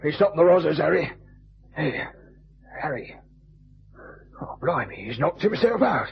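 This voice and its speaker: An actor playing a shifty, panicky British petty crook with a creaky voice, in an old-time radio drama. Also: male voice, on the high side, very animated, a little slow, heavily acted. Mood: alarmed. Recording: dull, noisy vintage radio recording with hum.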